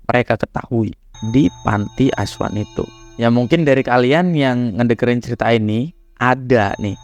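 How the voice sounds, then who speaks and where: Young guy, kinda hoarse and nasal from a cold, laid-back horror storyteller, middle pitch. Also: darkish tone. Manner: chatty, addresses viewers as guys. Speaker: horror storyteller, channel host. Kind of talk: casual horror story narration.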